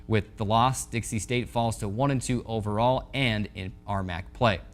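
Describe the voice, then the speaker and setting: A man in his twenties presents sports news as a show host. His voice sits a little low, and he reads in a scripted broadcast style on a studio lapel mic. Music plays faintly with it. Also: steady tone, normal speed. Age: 20s.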